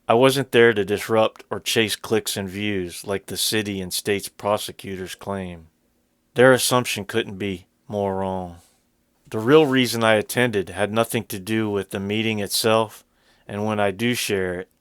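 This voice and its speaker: A man reading scripted narration for a video in a measured, formal way, with a smooth, clear voice. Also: on the low side, dry recording, clean studio sound.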